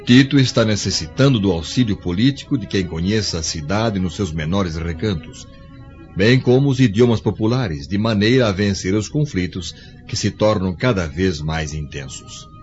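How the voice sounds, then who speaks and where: An actor playing an authoritative, courteous Roman emperor in a radio drama, stately and formal. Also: male voice, deep voice, animated, normal speed, darkish tone. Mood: stately, confiding. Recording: dull lo-fi recording, music behind.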